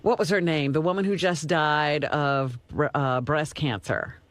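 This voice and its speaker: A woman's voice: low-pitched, fairly even intonation, unhurried, dry recording. A woman co-hosting a radio show, chipping in casually with comments and questions on a close mic. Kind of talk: casual interjecting chat. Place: radio studio.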